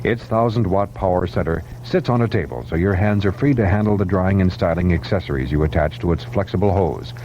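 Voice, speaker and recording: A man's voice: sits low, a little fast, few pauses, darkish tone. A man reading a 1970s radio advertisement as a commercial announcer. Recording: dull, faintly noisy vintage radio recording.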